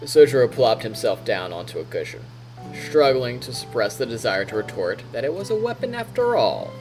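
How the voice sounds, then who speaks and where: Guy streamer reading a story out loud, super animated pitch, chatty asides, bit of music behind. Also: normal speed, rough recording. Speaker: streamer reading a visual novel aloud. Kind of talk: expressive story narration with casual asides.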